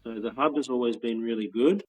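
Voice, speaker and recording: A man around forty with an Australian accent, chatting as a guest on a video call in a relaxed, rambling way with frequent restarts. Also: medium pitch, fairly quick, breathy edge, rough recording. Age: 40s. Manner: relaxed, rambling with many restarts and soft laughs. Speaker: guest, patent office trainer. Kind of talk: casual interview chat.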